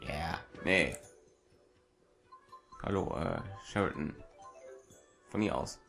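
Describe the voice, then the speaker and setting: Low, creaky young-sounding German gamer guy. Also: slow pace, a bit bright. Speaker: let's player. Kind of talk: casual game commentary. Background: video game music and sounds.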